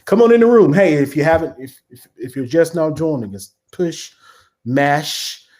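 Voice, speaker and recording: A man's voice: fairly high pitch, lively intonation, moderate pace, a little mellow. A man, a pastor hosting a church livestream talk show, speaking in a folksy, repetitive way with homespun sayings. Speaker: pastor and host.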